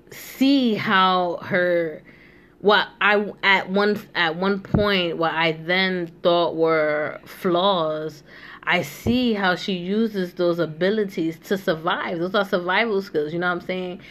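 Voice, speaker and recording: A woman's voice: fairly low pitch, fairly bright tone. A young woman hosting a casual chat, talking loosely with many asides and fillers. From New York. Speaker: host.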